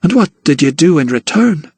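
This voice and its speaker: A man doing a sharp, questioning young woman. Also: fairly high pitch, sing-song, moderate pace, breathy edge, no room sound, voice pushed up. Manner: pleading, probing questions.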